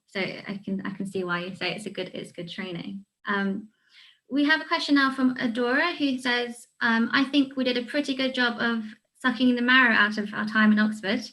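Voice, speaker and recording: A woman's voice: a little high, fairly even intonation, normal speed, fairly bright tone, poor audio. A woman hosting an informal question-and-answer session and relaying questions from the audience.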